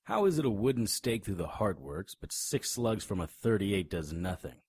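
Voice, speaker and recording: Deep-voiced, brooding monster hunter. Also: male voice, very animated, a little mellow, husky, dry recording, clean studio sound, full character voice. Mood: skeptical, terse. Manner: deliberate, clipped, dramatic storytelling. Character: brooding hard-boiled male monster hunter, devoted and world-weary. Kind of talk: noir audio drama narration and dialogue.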